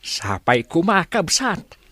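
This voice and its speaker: A man's voice: fairly high pitch, very animated, slow pace, bright, forceful tone, no echo, heavily acted. A man playing an old, fretful, prayerful village father in an Ilocano radio drama, plaintive about his wayward son. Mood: exasperated, pleading.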